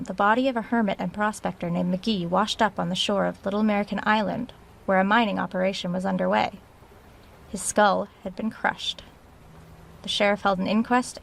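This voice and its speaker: Casting: actress for uneasy female researcher in horror audio drama, diary narration, medium pitch with vocal fry. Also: a little hiss or hum, lightly acted. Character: uneasy woman researcher recording an audio diary in a haunted house.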